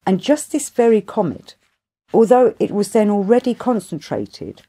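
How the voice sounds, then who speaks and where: A woman voicing a wise, ancient grandfather in an audiobook, telling his tale in a formal, measured, long-winded way. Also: mid-range voice, sing-song, warm tone, husky. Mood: reflective.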